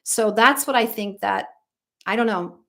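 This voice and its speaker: A woman's voice: mid-range voice, unhurried, creaky, fairly bright tone. A middle-aged American woman, a vocal coach, chatting casually on a livestream and reading out viewer comments, rambling with lots of ums and restarts. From United States.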